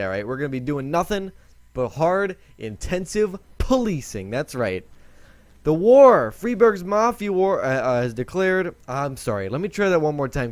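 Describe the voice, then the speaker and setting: A young man hosting a casual gaming video, rambling and chatty as he corrects himself, with a voice he says is lower than usual today. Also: dry recording. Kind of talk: casual let's-play commentary.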